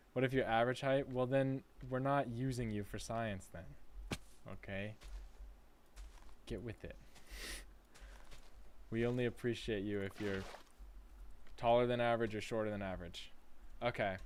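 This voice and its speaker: A man's voice: medium pitch, a little hiss or hum. A man chatting casually on a gaming livestream, playful, reading chat aloud and laughing often. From United States.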